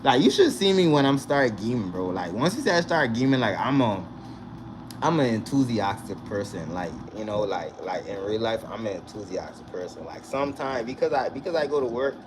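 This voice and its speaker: A young man from the Bahamas, vlogging in a casual, animated way and often checking in with the viewer. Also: mid-range voice, fairly quick. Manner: animated, repeats "you understand?" to the viewer. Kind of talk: casual direct-to-camera chat. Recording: noisy recording with vehicle sounds behind.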